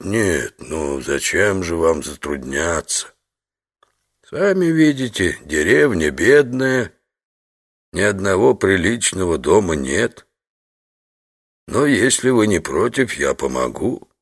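Slow, low-voiced old village fisherman. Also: sing-song, full character voice. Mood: accommodating. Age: older. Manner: slow, questioning, drawn-out reactions. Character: wary old village fisherman, curt then accommodating. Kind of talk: audio drama acting.

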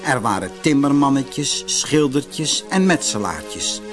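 A man reading a scripted fairy tale for children as an expressive narrator. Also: mid-range voice, slow. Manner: expressive storytelling. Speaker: storybook narrator. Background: music.

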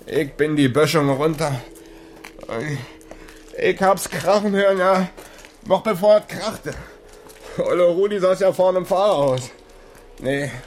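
An actor playing a shaken middle-aged long-haul trucker in a radio crime drama, speaking colloquial with a tinge, agitated and exclamatory. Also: male voice, high voice, measured pace, long pauses. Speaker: truck driver. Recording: noisy 1980s radio play recording.

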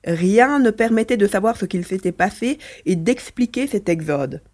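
A woman's voice: mid-range voice, lively intonation, moderate pace, darkish tone, lightly acted. A woman voicing a mischievous male mouse-beaver alien, a chatty, teasing adventurer in a French audio drama. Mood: storytelling.

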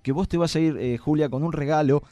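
A man's voice: a little high, quick pace, mellow, no room sound, highs cut off. A man with an Argentine accent hosting a live radio show, with a playful, joking way of talking. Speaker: radio host.

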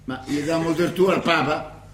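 Radio play: sceptical, loyal Roman manservant played by a man, high-pitched, querulously questioning his master, echoey room with faint noise. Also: moderate pace, fairly bright tone, close to an everyday voice. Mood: doubtful, fussy. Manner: querulous, echoing phrases back with doubt. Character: loyal, fussy, sceptical male servant-secretary to a monsignor. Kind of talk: theatrical radio drama dialogue.

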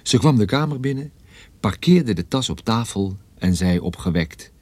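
Husky, wry private eye. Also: male voice, lowish voice, animated, moderate pace, a little mellow, no echo. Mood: wry, brooding. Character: wry male private detective, smitten and easily rattled. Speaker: lead actor and narrator. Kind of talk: radio detective drama with first-person narration.

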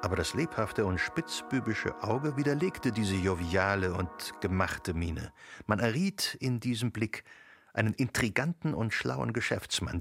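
A man reading literary narration for a radio drama in a measured, deliberate way. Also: lowish voice. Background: music.

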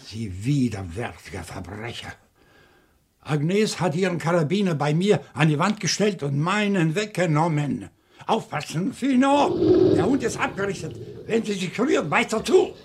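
An actor playing an agitated, accusing professor who questions deliberately and dramatically in a German radio crime drama. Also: male voice, fairly high pitch, animated, slight background noise. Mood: outraged.

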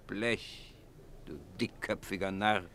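An actor playing a bossy, impatient Roman arena overseer in a radio play, barking and blunt. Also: male voice, deep voice, slow, fairly bright tone, exaggerated voice. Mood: irritated, impatient. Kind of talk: German radio play acting.

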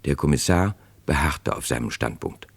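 A man narrating a true crime radio play as a sober storyteller, scripted and measured, building suspense. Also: rumbling low, animated, vocal fry, slightly rough, heavily acted. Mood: sober narration.

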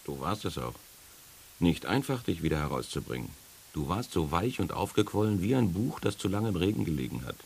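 Very deep, creaky sardonic guide. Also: male voice, big pitch swings, fairly quick, exaggerated voice. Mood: dryly amused. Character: sardonic male guide leading the dead through trials. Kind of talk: 1980s German radio play acting. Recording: hissy early-1980s radio recording.

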